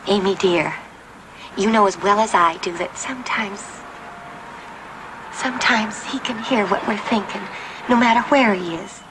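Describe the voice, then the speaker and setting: A woman playing a frightened townswoman forcing sweetness in a 1960s television drama, halting and coaxing with strained pleasantness. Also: mid-range voice, lightly acted. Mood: forced cheer. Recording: noisy old TV soundtrack.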